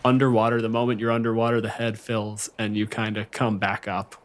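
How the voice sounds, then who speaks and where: A man's voice: fairly low pitch, flat delivery, brisk, background noise. A man co-hosting a podcast as game master, talking casually about the play. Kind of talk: casual tabletop game commentary.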